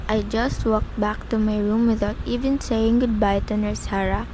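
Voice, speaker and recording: Clear background noise runs under a melancholy young female patient played by a woman. She narrates her own story in a fairly high voice, as in a scripted radio drama. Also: lightly acted. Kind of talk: first-person scripted radio play narration.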